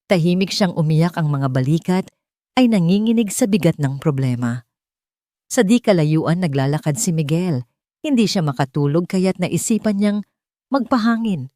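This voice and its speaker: A woman's voice: fairly low pitch, animated, normal speed, mellow, no room sound, studio quality. A woman storyteller reading scripted narration for a romantic drama audio story.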